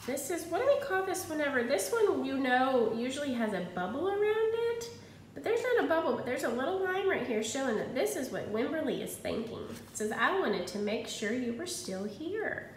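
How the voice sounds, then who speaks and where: A woman in her thirties, a kindergarten teacher giving an encouraging read-aloud lesson to young children, pausing after questions. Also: very animated. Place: indoors at home, small room. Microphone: phone at arm's length. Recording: roomy echo, faint noise and rumble.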